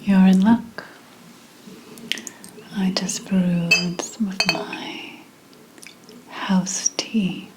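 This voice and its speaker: A woman playing a wary, sardonic old witch in an ASMR roleplay, speaking in a breathy whisper mixed with soft, deliberate speech. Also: medium pitch, fairly even intonation, noisy background. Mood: conspiratorial, reminiscing. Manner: slow, deliberate, suspicious questioning with dramatic pauses. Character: wary, sardonic old 17th-century witch, potion maker.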